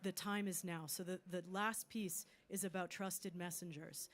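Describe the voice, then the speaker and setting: A woman's voice: lowish voice, steady tone, moderate pace. A woman speaking earnestly on a panel, with hesitant restarts. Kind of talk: panel discussion.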